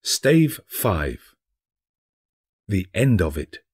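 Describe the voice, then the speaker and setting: Expressive, slow-paced male storyteller, slightly husky. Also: low voice. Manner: expressive, dramatic. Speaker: audiobook narrator, actor. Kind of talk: theatrical literary storybook narration.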